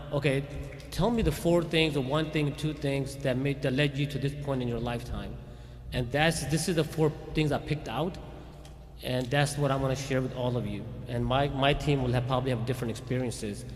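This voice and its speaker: A man speaks conversationally to a community audience in a hall, often restarting his sentences and checking in with "okay?". His voice is mid-pitched and carries a long reverb. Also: fairly even intonation, normal speed. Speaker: police chief speaking at a community event. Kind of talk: informal faith talk to a community audience. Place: Islamic center hall.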